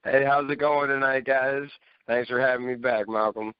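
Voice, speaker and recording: Male fan guest, clean smooth voice, informal, on dry dull audio. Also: mid-range voice, fairly even intonation, normal speed, no room sound. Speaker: returning panel guest. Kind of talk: casual fan chat.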